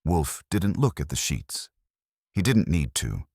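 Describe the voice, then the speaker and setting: A man narrating a scripted detective story as an audiobook reader, with wry, deliberate phrasing. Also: rumbling low, very animated, a little mellow, breathy edge, no echo, studio quality.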